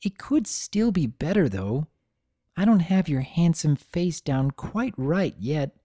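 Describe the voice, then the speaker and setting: A man voicing an affectionate, earnest female pony caretaker, gentle and modest, in a dramatic story reading. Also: on the high side, sing-song, takes it slowly, no echo, clean studio sound, pitched above the performer's own voice, heavily acted. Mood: modest, easygoing. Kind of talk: character dialogue in a dramatic reading.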